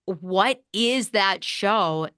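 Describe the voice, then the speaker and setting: A woman chats on a podcast with a bright, forward tone that is slightly hoarse. She talks fast, with plenty of fillers. The sound is close and dry, with no room echo. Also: mid-range voice. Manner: fast, animated talk with many fillers. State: slightly hoarse, losing her voice. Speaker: podcast host and guest. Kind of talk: casual chat. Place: Los Angeles podcast studio.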